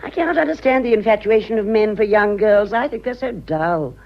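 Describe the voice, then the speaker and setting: An actress playing a vain, theatrical aging British society wife in a radio drama, grand, emphatic and melodramatic. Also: female voice, mid-range voice, expressive, a little fast, lightly acted. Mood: reflective. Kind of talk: radio drama acting of a stage comedy. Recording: dull lo-fi radio drama recording.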